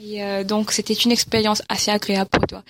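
A woman interviewer asking polite, measured questions. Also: medium pitch, flat delivery, noisy background.

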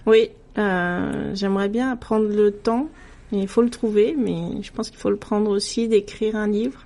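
A middle-aged woman from France, a radio guest talking in a relaxed, thoughtful way with many hesitations and restarts. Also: medium pitch, unhurried. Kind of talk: casual conversational interview.

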